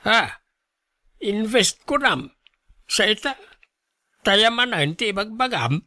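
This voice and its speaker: A man playing a stubborn, wily old father who begs for money, in an animated radio drama style. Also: sits high, slow, dry recording, clean studio sound, exaggerated voice. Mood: stubborn, grumbling. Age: older. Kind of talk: Ilocano radio drama acting.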